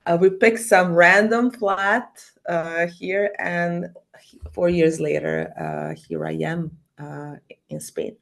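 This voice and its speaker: A woman from Croatia speaking as a podcast guest, animated and full of fillers and restarts. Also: lowish voice, vocal fry. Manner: animated, halting non-native English with many fillers. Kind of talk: casual interview.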